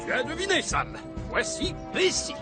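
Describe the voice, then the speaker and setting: Excitable older cartoon fire chief. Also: male voice, very high voice, normal speed, full character voice. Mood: nostalgic, gleeful. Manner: animated, exclaiming, theatrical. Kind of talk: French cartoon dub. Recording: music behind the voice.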